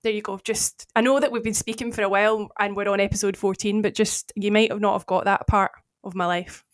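A woman's voice: mid-range voice, fairly even intonation, fairly quick. A young Scottish woman from Paisley co-hosting a casual comedy podcast, chatty and teasing, explaining things at length. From Scotland, Paisley. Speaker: podcast co-host.

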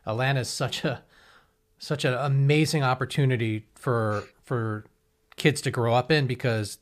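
A man's voice: mid-range voice, steady tone, moderate pace. A man in his forties interviewing guests in a casual, rambling way, often restarting his sentences. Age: 40s.

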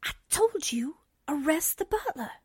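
A woman playing a cheeky young reporter's assistant with a breathy, whispery voice in a 1940s-style radio drama. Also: high-pitched, very animated, measured pace, dry recording, studio quality.

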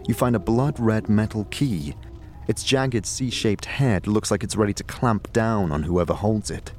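A man narrating a scripted second-person audio drama in a deliberate storytelling manner. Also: on the low side, darkish tone. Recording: music behind the voice. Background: background music.

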